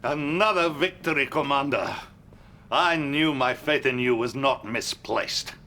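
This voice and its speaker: An actor playing a courteous, authoritative Soviet political leader with a Russian accent in a game cutscene. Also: male voice, medium pitch. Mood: approving. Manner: measured, courteous, quietly commanding. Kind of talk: dramatic video game cutscene acting.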